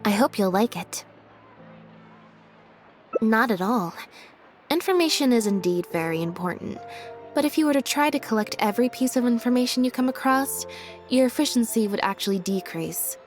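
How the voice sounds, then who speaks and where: A woman voicing a calm, understated young woman in a video game, speaking in a measured deadpan. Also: fairly high pitch, soft background music, natural voice. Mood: calm, relaxed.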